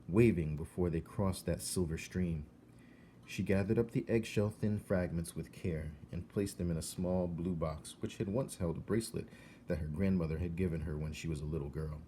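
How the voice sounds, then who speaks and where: A man reading a children's novel aloud as narrator, in an expressive storytelling style. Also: deep voice, a little hiss or hum. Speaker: storybook reader.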